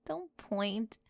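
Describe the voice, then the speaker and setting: Woman's gravelly close-mic ASMR whisper. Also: medium pitch, no echo. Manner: teasing, sharp-tongued, moving between whispers and soft speech. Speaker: ASMR roleplay performer.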